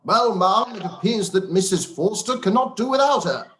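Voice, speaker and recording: A dry, gently mocking older father, played by a man in a live-read radio play. His lively voice rises and falls as he teases, and it sits a little high for a man. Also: normal speed. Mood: jovial.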